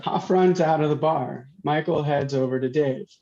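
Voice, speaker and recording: A man's voice: fairly high pitch, moderate pace, mellow. A man reading scripted stage directions as narration at a table read. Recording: muffled, dull video-stream audio.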